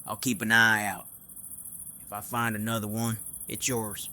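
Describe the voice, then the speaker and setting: A man playing a gruff but gentle, reserved crossbow hunter in an audio drama, speaking tersely. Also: flat delivery, moderate pace. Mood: guarded, kindly. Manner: terse, low-key. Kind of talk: fan audio drama acting.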